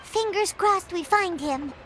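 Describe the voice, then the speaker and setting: Squeaky, very high-pitched little fairy. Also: female voice, unhurried, background noise. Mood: chatty. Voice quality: squeaky, childlike. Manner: refers to self in third person, exclaims, stretches words. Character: small excitable childlike female fairy companion, chirpy and timid. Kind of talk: video game voice acting. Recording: game music behind the voice.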